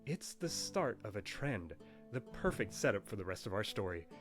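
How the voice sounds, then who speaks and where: A man's voice: fairly low pitch, sing-song, normal speed. A man narrating a scripted history of a language in a playful, wry storytelling manner. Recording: narration with faint background music.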